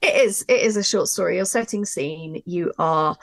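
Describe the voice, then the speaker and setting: A woman's voice: on the low side, moderate pace, creaky. A woman talking casually and emphatically as a writer explaining her craft in a workshop discussion. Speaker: audio drama writer.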